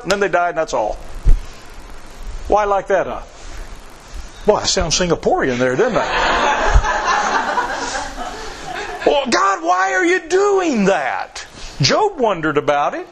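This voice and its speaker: A man's voice: high voice, fairly bright tone. A man preaching a sermon, repeating phrases for emphasis and reading scripture aloud in old-fashioned wording. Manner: reads scripture aloud in King James wording.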